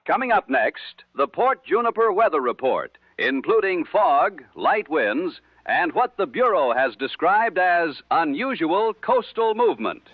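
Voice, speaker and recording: A man's voice: a little high, sing-song, a little slow, hardly any pauses, no room sound. A man playing a wry, upbeat 1960s radio host bantering between segments. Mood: wry, cheerful. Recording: dull lo-fi vintage radio sound.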